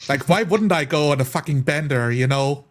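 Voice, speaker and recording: A man chatting casually among friends in an animated, joking, sweary way. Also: a little high, fairly quick. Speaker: tabletop role-player. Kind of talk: casual group chat.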